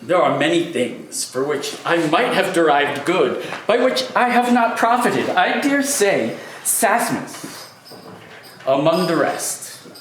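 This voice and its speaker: A man's voice: a little high, very animated, takes it slowly, a bit bright, heavily acted. A man playing a cheerful, earnest nephew who declaims and pleads in an amateur stage read. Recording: echoey room, faint noise.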